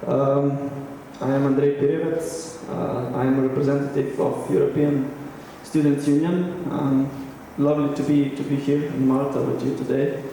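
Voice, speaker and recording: A man's voice: medium pitch, normal speed, few pauses, slight background noise. A young man representing a student union, introducing himself hesitantly on a panel. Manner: hesitant, flat delivery. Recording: distant, echoey hall.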